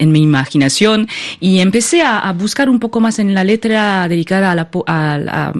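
A French woman speaking as an interview guest with a French accent, thoughtful and often searching for words. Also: medium pitch. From France. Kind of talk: conversational interview.

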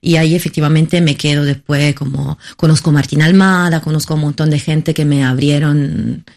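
Halting, creaky-voiced woman from Italy. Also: deep voice, steady tone, a little mellow. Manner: halting, searching for words, many repeated starts. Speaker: documentary filmmaker, interview guest. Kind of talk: conversational interview answers.

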